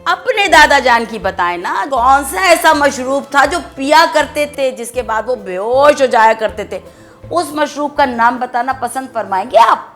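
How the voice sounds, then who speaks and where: A woman's voice: high voice, animated, a little slow, fairly bright tone, faint music behind. An actress playing an indignant old nawab's wife, a haughty grandmother, scolding with flowery courtesy in a family comedy. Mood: offended, mocking. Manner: formal, flowery, scolding Urdu-style courtesy. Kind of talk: theatrical family comedy acting.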